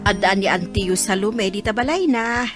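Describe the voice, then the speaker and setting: A woman's voice: medium pitch, moderate pace, music in the background, lightly acted. A woman playing a kindly old grandparent in a radio drama, inquisitive and sympathetic, with an Ilocano accent. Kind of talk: Filipino radio drama acting.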